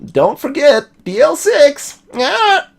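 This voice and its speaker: Shrill squawking parrot. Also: male voice, sing-song, takes it slowly, vocal fry, full character voice. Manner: exclamatory, mimicking set phrases. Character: squawking pet parrot repeating phrases.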